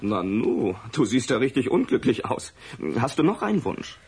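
An actor playing a friendly, amused pharmacist in a radio play, helpful and chatty. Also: male voice, medium pitch, big pitch swings, moderate pace, vocal fry, background noise. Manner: helpful, chatty, muttering as he works.

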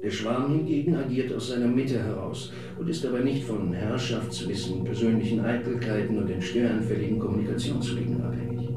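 An actor playing an earnest, reflective man of a swarm collective in a German radio drama, recorded with heavy echo and loud music. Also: fairly low pitch, a little fast, hardly any pauses, heavy background noise, natural voice. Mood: earnest, theorizing. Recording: very echoey, distant, loud music.

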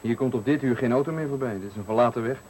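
An actor playing a sly, prying stranger in a radio thriller, speaking in a measured, insinuating way. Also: male voice, medium pitch, darkish tone, lightly acted. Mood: guarded, matter-of-fact. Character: prying, sly stranger at a night bus stop. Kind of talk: 1980 Dutch radio thriller acting. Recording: noisy 1980 radio play recording.

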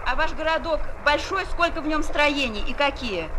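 A woman's voice: high voice, lively intonation, normal speed, pushed and bright. A woman asking interview questions for a children's magazine during a radio session. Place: radio studio. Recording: faint noise of a radio session.

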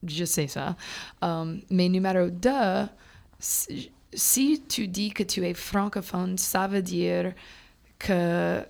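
A young woman from Louisiana whose first language is English, a French teacher talking casually as a podcast guest, halting and full of fillers, slipping in English words. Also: lowish voice, vocal fry, darkish tone. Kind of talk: casual conversation.

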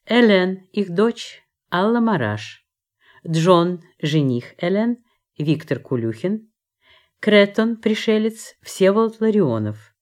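A woman's voice: medium pitch, big pitch swings, slow pace, darkish tone. A woman announcer reading scripted credits for a radio drama in a formal broadcast style.

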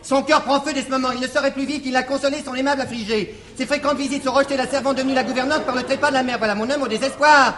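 An impatient servant in classic French farce. He rattles along in a very high, exasperated theatrical voice. A long reverb hangs over the old stage recording. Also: fairly even intonation, rapid-fire, few pauses, fairly bright tone. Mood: impatient, mocking. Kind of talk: classical stage comedy acting.

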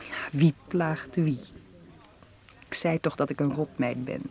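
A woman playing a candid young woman in a radio drama, conversational and gently wry. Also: low-pitched, fairly even intonation, a little slow. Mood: teasing, intimate. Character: candid, teasing young woman, evasive when questioned. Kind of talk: naturalistic Dutch radio play acting.

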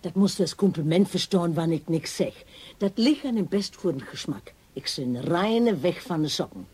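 An actress playing a self-assured, defensive grown daughter in a radio drama, plain-spoken with a Low German accent. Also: female voice, expressive, normal speed, warm tone, breathy edge. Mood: evasive, fond.

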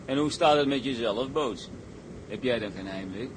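Male actor for restless tug sailor: medium pitch, blunt, colloquial, seafaring drama, noisy engine-like background. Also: normal speed, natural voice. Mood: reflective. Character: bored, restless young-hearted male tug deckhand. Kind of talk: dramatised seafaring story, scripted acting.

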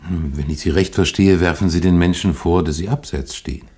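An actor playing an earnest, courteous priest in a German radio drama, speaking in a deliberate, rhetorical and insistent way. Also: male voice, low-pitched, a little mellow, breathy edge. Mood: fervent, insistent.

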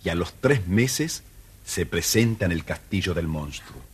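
A man with an Argentine Spanish accent narrating a children's story calmly on an old audio record. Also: sits low, a little slow. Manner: calm, even storytelling.

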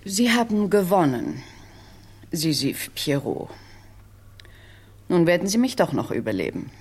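A cool lady crime writer in an old radio mystery. Her voice is husky and very melodic, defiant under questioning. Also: fairly low pitch, very animated, a little slow, a little mellow, full character voice. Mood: resigned. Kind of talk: 1970s German radio play acting.

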